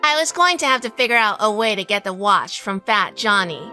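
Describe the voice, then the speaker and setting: A woman's voice: mid-range voice, lively intonation, fairly quick, sharp tone, faint music behind. A woman playing a shrewd, independent gun-toting gangster's wife in a noir radio drama. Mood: calculating.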